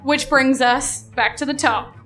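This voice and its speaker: New Zealand woman, high voice, game master, playful banter, live stage recording with music behind. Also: fairly even intonation, unhurried, sharp tone. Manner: playful, giggly, teasing. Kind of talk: live tabletop game narration, casual banter. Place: live on stage at a festival club.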